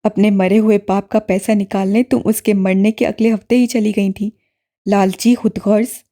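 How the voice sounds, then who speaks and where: A woman voicing a bitter young man who shouts accusations at his sister, in a lowered voice in an audiobook. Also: little pitch movement, close to an everyday voice. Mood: bitter, shouting. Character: furious young man, bitter estranged brother. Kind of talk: audiobook character voice.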